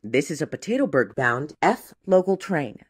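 A woman reading scripted train announcements in a measured, formal, segmented way. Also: sits low, sing-song, breathy edge. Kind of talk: scripted transit announcement, spliced clips.

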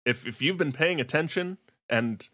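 A man's voice: on the high side, normal speed, sharp tone. A man chatting as a podcast panelist, joking through a theory and repeating words as he builds his point. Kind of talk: casual chat, joking theorizing.

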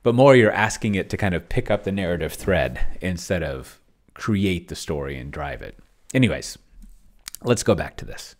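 A man's voice: low voice, animated, normal speed. A middle-aged man giving casual livestream commentary, rambling and thinking aloud as he types. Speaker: solo role-playing streamer. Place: small cluttered home room, indoors.

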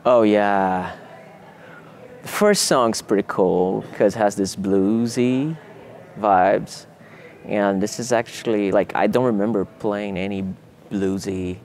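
A young Brazilian man, a native Portuguese speaker with a Brazilian accent, casually answering interview questions in a relaxed way while seated in a theater hall. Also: low voice, vocal fry. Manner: relaxed, laid-back, many fillers like "you know" and "man". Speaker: guitarist.

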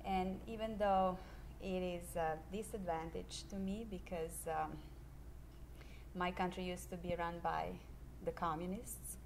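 A middle-aged woman from Serbia, a high school history teacher, speaking in a measured, earnest way with many pauses in a classroom interview on a handheld mic. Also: steady tone, vocal fry, a little mellow, a little hiss or hum, poor audio. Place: classroom, indoors.

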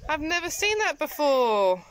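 A woman's voice: high voice, very animated, a little slow. Chatty woman touring her garden. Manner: chatty, teasing, laughs often. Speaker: family vlogger. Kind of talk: casual garden tour chat. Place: sunny back garden outdoors.

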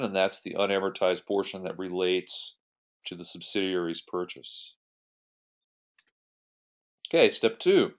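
A man giving an explanatory tutorial as an accounting instructor, working through an example step by step in a conversational way. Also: on the low side. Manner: step-by-step, conversational, poses questions then answers them. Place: voice-over on a screen recording.

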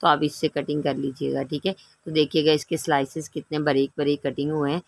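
A woman's voice: low voice, flat delivery, brisk, gravelly. A woman hosts a home cooking tutorial in a casual, explanatory style. She talks the listener through things step by step and keeps checking that they are following along. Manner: instructive, repeatedly checking in with the listener. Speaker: home cooking channel host. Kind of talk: casual recipe tutorial narration.

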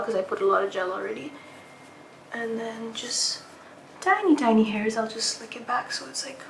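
A woman's voice: a little high, fairly bright tone, roomy sound, slight background noise, rough recording. A young woman in her twenties chatting casually to a handheld camera in a travel vlog, saying "like" a lot and dropping to a near whisper at times. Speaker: vlogger. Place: hotel bathroom, then mall and food court. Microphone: handheld vlogging camera.

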